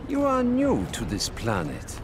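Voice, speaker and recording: A man playing a cold, sorrowful scientist villain in a video game, formal, measured and menacing. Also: on the high side, wildly swooping pitch, very noisy. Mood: cold menace. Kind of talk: video game fight banter.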